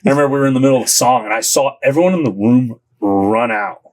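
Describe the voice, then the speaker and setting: A man's voice: medium pitch, fast. Rambling man telling stories. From Southern California. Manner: rambling, lots of filler likes. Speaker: podcast guest. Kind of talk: casual storytelling.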